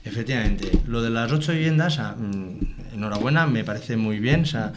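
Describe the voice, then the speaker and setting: A man in a local TV debate arguing his point in a measured way, with frequent hesitations. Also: medium pitch, background noise. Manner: measured, argumentative, many hesitation fillers. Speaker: debate participant.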